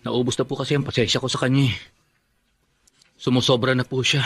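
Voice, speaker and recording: A man's voice: mid-range voice, little pitch movement, a little fast, fairly bright tone, husky. A man acting a wronged but proud younger brother in a Filipino radio drama, earnest and emphatic. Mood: hurt, earnest. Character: wronged, proud younger half-brother, respectful to his mother.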